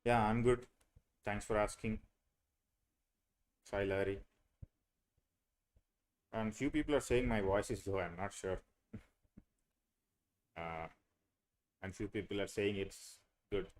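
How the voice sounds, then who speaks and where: A man's voice: on the low side, slow, long pauses. A young man, teaching a live online class on a video call, hesitant with many fillers and restarts. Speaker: online course instructor.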